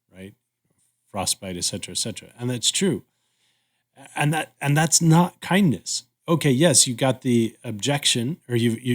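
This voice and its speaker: A man co-hosting a reflective conversation, speaking thoughtfully and haltingly as he searches for words. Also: fairly low pitch. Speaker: podcast host.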